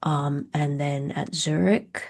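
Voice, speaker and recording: A woman's voice: deep voice, steady tone, slow pace, vocal fry, a little mellow. A middle-aged woman from Australia, a company CEO answering interview questions frankly, laughing often and using many fillers over a video call. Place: at home.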